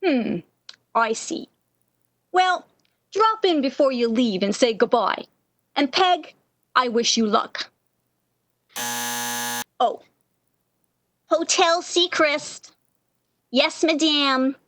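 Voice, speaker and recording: A woman's voice: high voice, lively intonation, very slow, long pauses, fairly bright tone, a little hiss or hum, exaggerated voice. A woman playing a brassy, motherly and worldly-wise night switchboard operator in 1930s radio style, slangy and teasing with endearments. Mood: wry, fond.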